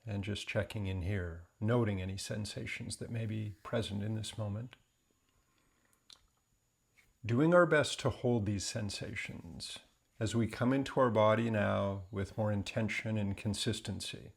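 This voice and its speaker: A man leading a guided meditation in a gentle, unhurried, deliberate way, leaving long pauses between phrases. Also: on the low side, gravelly.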